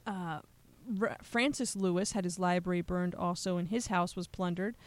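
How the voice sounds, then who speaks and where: A radio host with a medium-pitched voice reads scripted history narration. The delivery is earnest and deliberate, shaped like storytelling.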